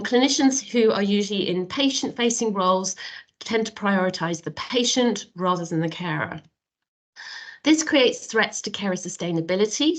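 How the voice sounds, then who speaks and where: A woman with a cold and a nasal voice, giving a professor's presentation on a video call webinar. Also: mid-range voice.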